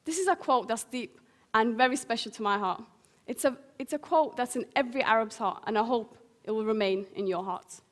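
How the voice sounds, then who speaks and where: High-voiced teenage girl speaker. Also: fairly quick. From Syria. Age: teens. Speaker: young guest speaker. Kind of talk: prepared public speech.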